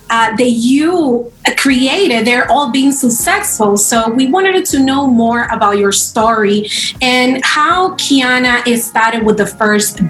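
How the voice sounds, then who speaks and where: Woman host, slow halting questions, slightly high voice, roomy echo, faint music. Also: a bit bright. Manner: slow, halting, non-native grammar. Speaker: interviewer, host.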